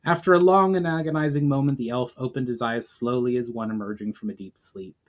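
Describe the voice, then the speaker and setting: Man reading fantasy fiction in measured narration. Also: mid-range voice. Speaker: author reading own book. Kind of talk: dramatic audiobook-style narration of fantasy fiction.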